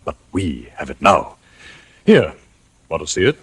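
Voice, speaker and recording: An actor playing a smug, wealthy crime mastermind in a 1940s radio drama, husky, formal and pompously polite. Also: male voice, a bass voice, very animated, a little slow, no echo, heavily acted. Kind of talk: 1940s radio villain acting.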